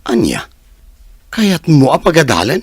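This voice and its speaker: A man's voice: a little high, huge pitch swings, measured pace, breathy edge, no echo, clean studio sound, exaggerated voice. A man acting a teasing, skeptical village buddy with an Ilocano accent in a radio comedy drama.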